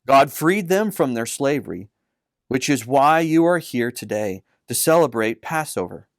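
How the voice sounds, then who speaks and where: A man's voice: medium pitch, expressive, measured pace, no echo. Man reading as a kindly storytelling uncle, dry close sound. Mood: patient, teasing. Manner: patient, explanatory. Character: kindly uncle telling Bible history to children.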